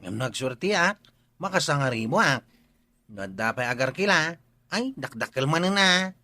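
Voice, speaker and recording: An actor with an Ilocano accent playing a frank, needling village gossip in a radio comedy drama. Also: male voice, on the high side, very animated, normal speed, sharp tone, no echo.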